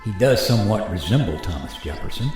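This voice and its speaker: A man's voice: lowish voice, animated, quick pace, darkish tone, faint music behind. An actor playing a skeptical, good-humored American family man in a radio play, wry and incredulous, recorded in an echoey room. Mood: wry, musing. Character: George Morgan, skeptical, good-humored American airline pilot and father.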